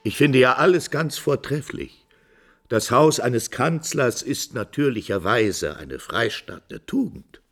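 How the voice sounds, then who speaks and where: A man's voice: mid-range voice, animated, measured pace, fairly bright tone. An actor playing a proud, anguished middle-aged father in a 1950s German radio drama, stern and theatrical, bitterly holding back rage. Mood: bitter despair. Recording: vintage 1950s radio recording.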